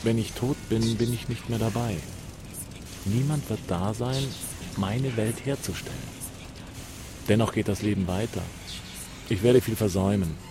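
A man's voice: fairly low pitch, a little slow, long pauses, over music. An actor playing a reflective dying man in a radio drama, speaking in a sombre, matter-of-fact, philosophical way. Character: reflective dying man facing death calmly.

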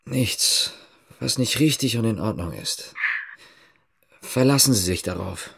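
Husky, guarded East German policeman. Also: on the low side, lively intonation, a little slow, a bit bright, full character voice. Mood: controlled, official. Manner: clipped, probing short questions. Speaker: police officer. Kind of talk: radio drama dialogue.

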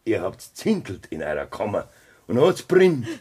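An actor with a Bavarian accent playing a cruel, scheming farmer husband in a 1970s radio play, with a gravelly, husky voice. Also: male voice, on the low side, wildly swooping pitch, measured pace, darkish tone, full character voice. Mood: menacing.

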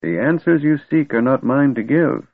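Man putting on a high, cranky old wizard-god. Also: big pitch swings, moderate pace, gravelly, no echo. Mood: stern. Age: older. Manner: cranky yet wistful, scolding. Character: wise old male wizard-god, eccentric and stern. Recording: tinny phone-like dry recording.

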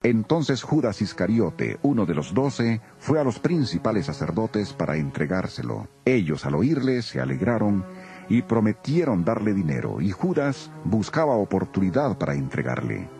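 A man's voice: lowish voice, sing-song, moderate pace, creaky, mellow, soft background music. A man narrating a dramatized scripture recording as a solemn storyteller.

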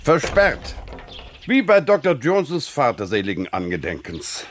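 Lively, dryly witty gentleman husband. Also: medium pitch, a little slow, slight background noise. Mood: wry amusement. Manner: urbane, wry, explanatory. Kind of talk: German radio drama acting.

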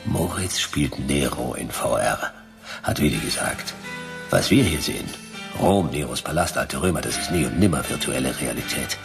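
A hard-boiled sleuth telling his case in a noir radio play. His voice is extremely low and gravelly, his delivery clipped and deadpan, over faint music. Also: moderate pace, heavily acted. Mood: suspicious. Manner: terse, dry, deadpan. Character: laconic, hard-boiled male private detective. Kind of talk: noir radio drama narration and dialogue.

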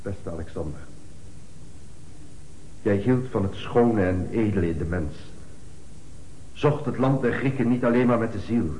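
Solemn actor as funeral orator in echoing hall. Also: male voice, on the low side, warm tone, slight background noise, natural voice. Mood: solemn, lofty. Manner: declamatory, measured pauses. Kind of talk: radio play eulogy. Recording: echoey hall with long reverb.

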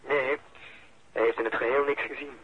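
Tinny noisy phone line, matter-of-fact male police officer, medium pitch, radio drama. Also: steady tone, normal speed. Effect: telephone filter. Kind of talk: radio play acting.

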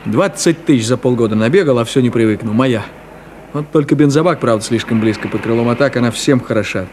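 A man's voice: mid-range voice, fast, darkish tone, close to an everyday voice. Man acting proud car guy showing off, hearty medium voice, old radio drama, bit of road noise. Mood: enthusiastic boasting. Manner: hearty, bragging, savouring his words. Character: boastful, warm-hearted proud new car owner. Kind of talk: 1970s radio play acting.

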